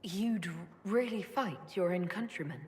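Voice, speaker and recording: An actress playing a level-headed, guarded leader in a fantasy video game, speaking in a dry, direct way. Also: female voice, mid-range voice, lively intonation, unhurried, close to an everyday voice. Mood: guarded, steady. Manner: dry, direct, matter-of-fact. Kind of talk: video game character dialogue. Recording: long hall reverb.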